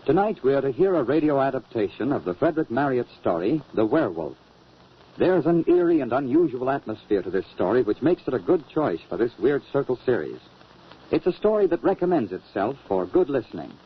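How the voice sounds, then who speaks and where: A man announcing an old-time radio show, delivering a scripted introduction and sponsor pitch on a muffled old recording. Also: very animated, fairly quick, breathy edge.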